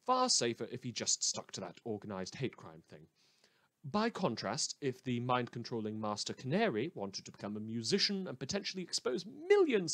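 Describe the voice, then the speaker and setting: A man playing a snide radio newsman in a superhero world, with a very animated, swooping pitch and a dry, close sound. He delivers scripted satirical news in an articulate, sardonic way, laying on mock earnestness as he airs his opinions. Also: dry recording.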